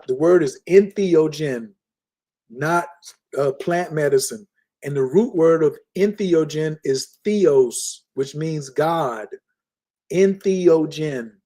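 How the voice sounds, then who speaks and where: A man teaching in an emphatic, lecturing way on a casual podcast, repeating key words slowly. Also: fairly high pitch, mellow. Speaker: spiritual teacher. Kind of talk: casual podcast talk, preaching.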